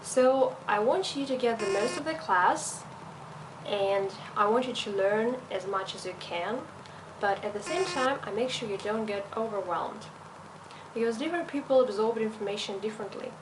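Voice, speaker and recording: A woman's voice: medium pitch, normal speed, noisy background. A young woman teaching languages, a non-native speaker of English with a slight European accent, explaining her methods step by step with frequent fillers. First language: not English. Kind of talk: teacher self-introduction video.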